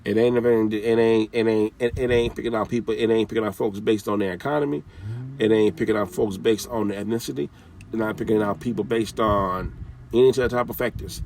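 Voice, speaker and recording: A man's voice: fairly low pitch, steady tone, a little fast, gravelly, darkish tone, far from the mic, a little hiss or hum. A man giving an informal, scolding talk with casual slang, often repeating his phrases.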